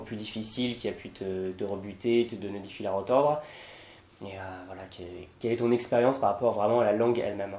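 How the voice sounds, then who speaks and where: Halting male interviewer in an echoey room. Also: fairly low pitch, a little mellow. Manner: halting, many fillers. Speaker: host, interviewer. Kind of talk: interview questions.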